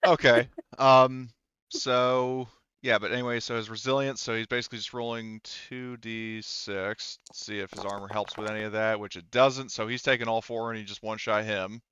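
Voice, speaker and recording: Smooth, low-voiced male game master, slightly muffled. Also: steady tone, a bit bright, no echo. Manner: halting, thinking aloud while working through rules and dice. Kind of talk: running a tabletop role-playing game, casual chat.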